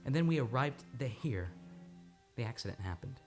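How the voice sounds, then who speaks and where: An actor playing an earnest, tender man haunted by returning memories, with halting, dramatic delivery in an old-time radio play. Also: mid-range voice, lively intonation, natural voice. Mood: earnest recollection. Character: haunted male amnesia patient recovering his memory, tender and earnest. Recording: studio radio play with music behind.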